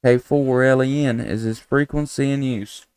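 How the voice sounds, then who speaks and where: A man hosting a casual live stream as an amateur radio operator, talking in a halting, folksy, rambling way. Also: mid-range voice, fairly even intonation, a little mellow, clear, resonant tone, dry recording. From Tennessee, United States.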